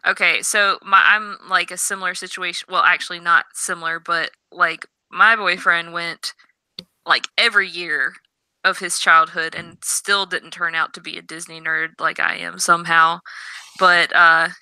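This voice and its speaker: A young woman chats on a podcast in a very bright, smooth voice with a slightly low pitch. She fills her speech with casual fillers. The sound is dry and close. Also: little pitch movement, normal speed, rough recording. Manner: chatty, lots of "like" fillers. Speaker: podcast co-host.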